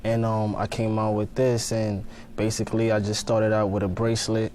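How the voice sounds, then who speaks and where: A man's voice: on the low side, monotone, moderate pace, darkish tone, a little hiss or hum. A young man from Ghana, a fashion designer guest on a TV interview, talking casually with lots of fillers and "you know what I mean.".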